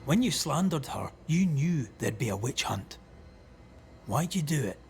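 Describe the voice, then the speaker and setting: A man playing a stern, resolute ghost hunter in a dramatic game cutscene, speaking in a measured, accusatory manner. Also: on the high side, big pitch swings, gravelly, slight background noise, heavily acted. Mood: stern, accusing. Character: grave, resolute male ghost hunter passing judgment.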